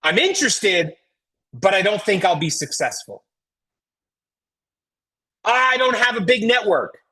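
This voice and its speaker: Woman, American accent, bright forceful voice, husky edge, emphatic sales trainer, folksy motivational delivery. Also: medium pitch, sing-song, a little slow. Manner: emphatic, rhetorical questions, folksy address. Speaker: network marketing trainer. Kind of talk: motivational sales coaching talk.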